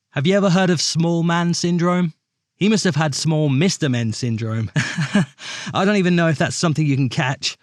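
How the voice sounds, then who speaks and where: An actor playing a guileless, accident-prone storybook character, chatting naively in a mock interview. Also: male voice, fairly high pitch, no room sound, clean studio sound, lightly acted. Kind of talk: mockumentary interview in audio drama.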